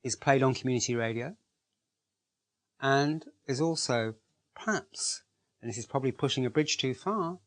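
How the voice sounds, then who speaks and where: Male organiser, mid-pitched, earnest, emphatic testimonial delivery. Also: moderate pace, long pauses, a bit bright. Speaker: project organiser. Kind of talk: interview testimonial.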